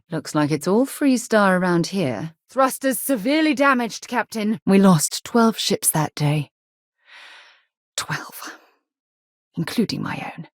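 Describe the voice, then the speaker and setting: An actress playing an earnest, principled woman space explorer and leader in a video game, with a crisp, articulate, slightly husky delivery. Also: lowish voice, dry recording, clean studio sound. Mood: grave. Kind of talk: scripted game dialogue.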